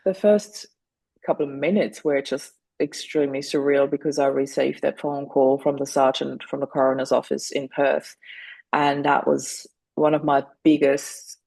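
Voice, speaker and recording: Gravelly-voiced woman. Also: low voice, darkish tone, dry recording, low-quality recording. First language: German. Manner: earnest and open, often restarts her sentences. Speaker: guest. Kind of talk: candid interview conversation.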